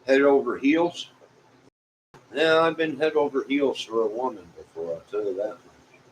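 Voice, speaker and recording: A man's voice: medium pitch, moderate pace, rough recording. A middle-aged or older man with an American Midwestern accent, chatting to viewers in a folksy, meandering, casual way. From Illinois, United States. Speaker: vlogger. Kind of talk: rambling casual chat to viewers. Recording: clear background noise.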